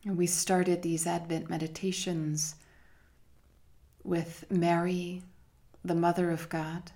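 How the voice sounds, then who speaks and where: A woman leading a guided meditation in a measured, hushed manner with long pauses between phrases. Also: fairly low pitch, flat delivery. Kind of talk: slow guided meditation narration.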